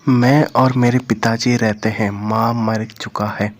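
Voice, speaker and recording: A humble, eager young servant from a cartoon story, voiced by a man, deferential and earnest in tone. The pitch is mid-range and the recording carries some background noise. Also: level tone, a little slow, close to an everyday voice. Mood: polite, earnest.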